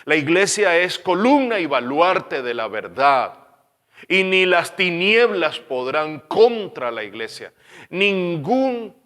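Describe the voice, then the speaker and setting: A man from Venezuela with a Caracas accent preaching a sermon as pastor, earnest, repeating phrases and letting line ends fall quiet. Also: a little high, long reverb tail.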